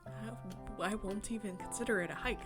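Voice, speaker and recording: A woman game streamer expressively reading a visual novel aloud, breaking into excited, chatty asides. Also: mid-range voice, moderate pace. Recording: music behind the voice. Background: game music.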